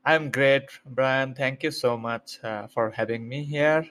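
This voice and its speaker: A young man from Bangladesh speaks as a podcast guest, explaining slowly and carefully. He pauses often and fills gaps with many hesitant fillers, at an ordinary middle pitch. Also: a bit bright. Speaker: guest, PhD student. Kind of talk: interview answers.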